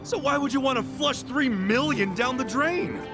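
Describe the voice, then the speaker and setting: A man's voice: very high-pitched, normal speed, music in the background. An actor playing a hot-headed, earnest underdog yakuza in an English video game dub, blunt and colloquial in his delivery. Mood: incredulous.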